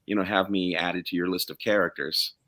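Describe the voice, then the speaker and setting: A man's voice: low-pitched, fairly even intonation, a little fast, pushed and bright. A man chatting casually as he introduces himself for an audition, with an eager manner. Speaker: auditioning singer-songwriter. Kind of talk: casual chat, self-introduction.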